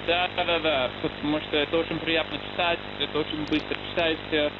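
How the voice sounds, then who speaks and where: A man's voice: a little high, flat delivery, brisk. A young man speaking conversationally as an interview guest, heard over shortwave radio. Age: young adult. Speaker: interview guest, literary translator. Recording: muffled shortwave radio with heavy hiss.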